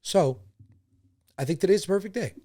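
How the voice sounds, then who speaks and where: A man's voice: high-pitched, animated, normal speed, mellow, no echo, clean studio sound. A young man who was born in Spain and raised in America, hosting a podcast in a casual, rambling and profane way. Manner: rambling, profane, admits to segues. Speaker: podcast host. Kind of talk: casual solo podcast commentary.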